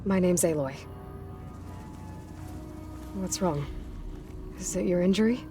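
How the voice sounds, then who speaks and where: A woman's voice: fairly low pitch, takes it slowly. A woman playing a determined, practical young heroine in a video game, speaking plainly and directly. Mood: concerned. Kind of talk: scripted video game acting. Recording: music behind the voice.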